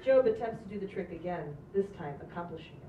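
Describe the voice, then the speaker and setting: A woman's voice: fairly low pitch, animated, fast, warm tone. Distant woman reading stage directions. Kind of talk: live script reading. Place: small stage in a room. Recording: distant, echoey, dull recording with clear noise.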